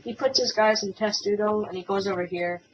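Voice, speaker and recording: A woman talks through a strategy game replay in a halting, casual way, a little hoarse from a cold. She sounds set back from a dull, muffled mic. A faint hiss sits under her voice. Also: mid-range voice, recorded from a distance. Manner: hesitant, many ums and restarts. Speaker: game commentator. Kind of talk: casual gameplay commentary.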